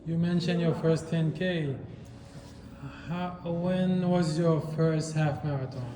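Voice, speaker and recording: A man's voice: fairly high pitch, fairly even intonation, takes it slowly, noisy background. A man interviewing a runner, asking brief, upbeat questions. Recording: echoey, reverberant with music behind.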